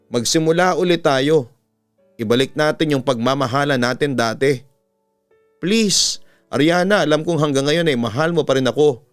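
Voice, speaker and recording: A man's voice: on the high side, moderate pace, smooth, natural voice. Man acting an earnest pleading Filipino lover. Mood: earnest, tender. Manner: pleading, emphatic. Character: earnest young man pleading with his lost love. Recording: music bed under the voice.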